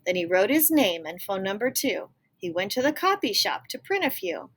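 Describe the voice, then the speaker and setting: A woman's voice: mid-range voice, big pitch swings, normal speed, a bit bright, slightly rough. A woman reading a children's picture book aloud expressively, with explanatory asides to young listeners. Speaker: storybook reader.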